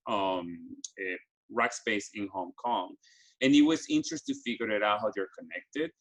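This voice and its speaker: Earnest Ecuadorian-born man, halting delivery. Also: medium pitch, fairly bright tone. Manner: halting, frequent restarts and fillers, earnest. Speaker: business school program staff presenting study abroad. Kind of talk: presentation to students with slides.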